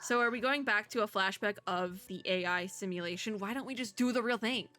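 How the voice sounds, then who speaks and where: A young woman with a hoarse, worn voice, giving giggly, chatty commentary on a story game and reading its text aloud. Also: medium pitch, fairly quick, pushed and bright. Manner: giggly, chatty, cheers on characters. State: hoarse, sore throat. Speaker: VTuber.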